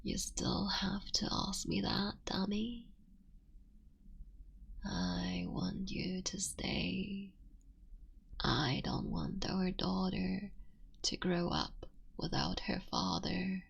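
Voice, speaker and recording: A woman's voice: mid-range voice, big pitch swings, drawn-out words, vocal fry, husky, lo-fi sound, full character voice. Woman voicing a whispering immortal fox witch. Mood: tender, wistful. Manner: soft intimate whispering. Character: immortal female fox witch, devoted tender wife. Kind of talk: ASMR roleplay.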